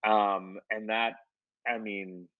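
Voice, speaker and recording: A man with a low voice gives loose, conversational interview answers full of fillers and restarts. The sound is muffled and dry, with no room around it. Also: flat delivery, takes it slowly, no echo. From United States. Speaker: artist.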